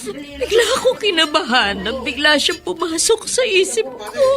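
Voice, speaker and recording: A woman's voice: very high-pitched, sing-song, moderate pace, music in the background, heavily acted. A woman acting a distressed, emotional female character in a Filipino radio drama. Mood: anxious, tearful.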